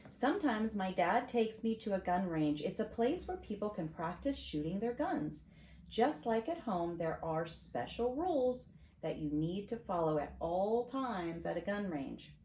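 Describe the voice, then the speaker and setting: Middle-aged woman, American accent, soft dark tone, storybook read-aloud, teacherly, questioning, echoey room. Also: mid-range voice, sing-song, unhurried. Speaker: host reading to her granddaughter. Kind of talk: storybook read-aloud with casual asides to a child. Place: home library, seated at a desk. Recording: roomy echo, clear background noise.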